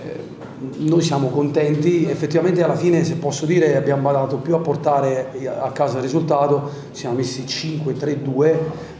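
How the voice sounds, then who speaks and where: Even-toned man at a roomy press conference. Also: fairly high pitch. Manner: measured, thinking aloud with frequent fillers. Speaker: assistant football coach. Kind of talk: post-match press conference. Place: press room in front of a sponsor wall. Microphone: desk microphone. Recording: roomy press room with crowd noise.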